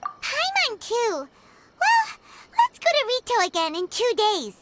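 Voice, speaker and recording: A woman voicing a small, excitable, childlike floating companion in a video game, squeaky and curious. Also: slow, very noisy, heavily acted. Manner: exclamatory, curious, refers to self in third person.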